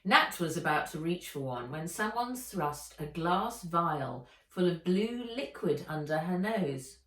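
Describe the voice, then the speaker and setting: A woman narrating a children's adventure book aloud in an expressive, dramatic and deliberate way. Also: fairly low pitch. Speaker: author reading own book aloud. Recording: echoey room, distant microphone.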